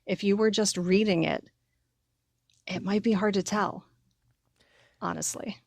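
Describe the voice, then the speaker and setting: A woman's voice: mid-range voice, steady tone, measured pace, gravelly, a little mellow, dry recording. A woman co-hosting a casual livestream conversation, chatting informally and giving her opinions. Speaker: co-host.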